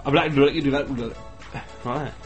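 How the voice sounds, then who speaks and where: A man's voice: mid-range voice, steady tone, normal speed. An English man co-hosting a podcast with loose, mumbled delivery. He leans on slang and swears freely. Faint music sits behind him. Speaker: podcast co-host. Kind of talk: casual banter.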